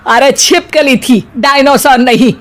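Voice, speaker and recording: A woman's voice: high-pitched, measured pace. A woman playing a bossy, sarcastic middle-aged mother-in-law in a comedy cartoon, with a scolding, exclamatory delivery. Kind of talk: Hindi comedy cartoon voice acting.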